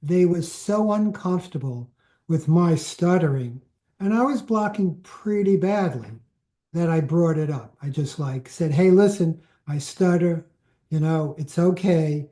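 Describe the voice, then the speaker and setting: A man in a support group on a video call, sharing a memory in a plainspoken way with pauses. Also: fairly high pitch, warm tone. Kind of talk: personal sharing.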